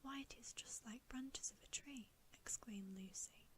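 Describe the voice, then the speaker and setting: A woman reading a bedtime story as ASMR in a soft, breathy whisper, gentle and soothing, close to the microphone. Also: a little high, measured pace.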